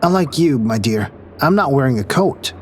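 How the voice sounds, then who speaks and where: A man's voice: medium pitch, expressive, normal speed, slight background noise, close to an everyday voice. A man playing a wry, pragmatic space crewman in an audio drama, dry and a little exasperated. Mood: alarmed.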